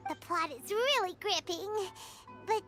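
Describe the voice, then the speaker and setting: A tiny, perky female fairy from a video game, with an extremely high, squeaky, childlike voice. The intonation leaps around brightly. Prominent music plays underneath. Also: animated, a little slow. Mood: dramatic, emotional. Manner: squeaky, refers to self in third person.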